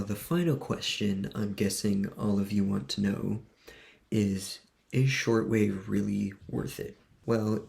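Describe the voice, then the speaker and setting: A man giving a casual, informal product demonstration as a video host, speaking in a somewhat hesitant, halting way. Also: lowish voice, creaky, a little mellow, slightly rough. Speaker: video host, radio hobbyist.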